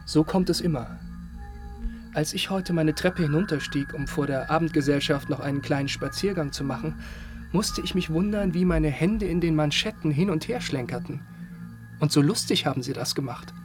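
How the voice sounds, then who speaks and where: A man's voice: a little high, normal speed, over music, lightly acted. A man acting in a radio play as an exasperated, mocking acquaintance who talks in a scolding, condescending way. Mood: amused, boastful. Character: exasperated, mocking male acquaintance on a night walk.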